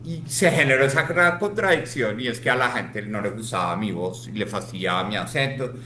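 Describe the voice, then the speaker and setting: A man's voice: mid-range voice, a little fast, pushed and bright. Colombian guy on a podcast, passionate and emphatic, echoey room with a faint hum. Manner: emphatic, rhetorical, repeats "¿sí?". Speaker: guest, former statistics official.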